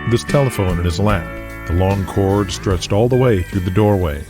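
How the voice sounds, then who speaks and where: Deep-voiced male drama narrator. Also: normal speed. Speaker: narrator and announcer. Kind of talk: scripted audio drama narration. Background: music.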